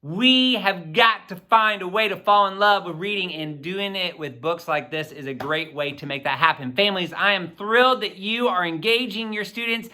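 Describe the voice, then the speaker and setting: A man's voice: high voice, moderate pace, few pauses, pushed and bright. A middle-aged man, a school principal, speaking to children and reading aloud in an upbeat, emphatic, encouraging way. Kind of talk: enthusiastic address and children's book read-aloud.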